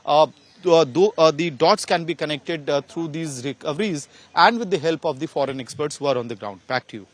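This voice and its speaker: A male field reporter with an Indian accent and a fairly high voice. He delivers a live, unscripted news report, hesitating often as he goes. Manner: halting, hesitant delivery with frequent filled pauses.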